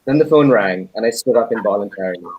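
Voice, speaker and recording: Husky lowish-voiced man narrating a novel. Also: expressive, quick pace, no echo, highs cut off. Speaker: actor.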